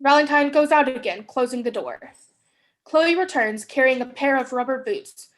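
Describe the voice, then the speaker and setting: A young woman gives scripted narration of stage directions for a play reading. Her voice sits high as she describes the action. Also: animated, moderate pace, low-quality recording. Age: young adult.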